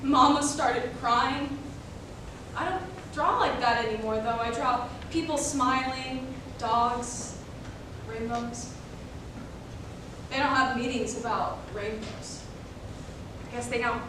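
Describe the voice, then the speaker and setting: A woman playing a withdrawn, troubled eight-year-old boy in staged reader's theater, speaking in a halting, guarded way. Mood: sad, guarded. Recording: echoey room, distant mic, noisy rumble.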